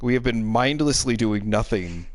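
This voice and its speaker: A man's voice: medium pitch, expressive, moderate pace, no echo. A man chatting casually on a game stream, hesitant and meandering in how he puts his thoughts. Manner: hesitant, meandering, hedging phrases.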